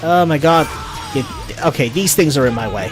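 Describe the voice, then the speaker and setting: A man hosts a casual let's play in a fairly high, very animated voice. He talks through the game as he plays, with music running underneath. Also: normal speed. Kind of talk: casual gameplay commentary.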